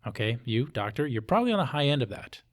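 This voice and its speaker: A man's voice: mid-range voice, sing-song, fast. A man with an American accent chatting casually as an interview guest, in blunt, colloquial language. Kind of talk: casual conversation.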